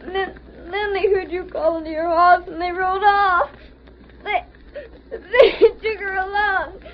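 A performer playing a frightened young American ranch boy in an old-time radio western, earnest and near crying, with halting, stammered rustic phrasing. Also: very high-pitched, a little hiss or hum. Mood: tearful, halting. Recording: muffled vintage radio recording.

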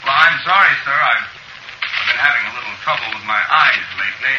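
An actor playing a formal, deliberate male prosecutor in an old radio courtroom drama. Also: medium pitch, animated, close to an everyday voice. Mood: apologetic, unsettled. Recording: very noisy, muffled old radio recording.

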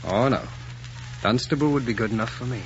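An actor playing a courteous, earnest young suitor who speaks in a polite, measured way in an old romantic drama. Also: male voice, medium pitch, natural voice. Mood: tender, amused. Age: young adult. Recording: dull, noisy old film soundtrack.

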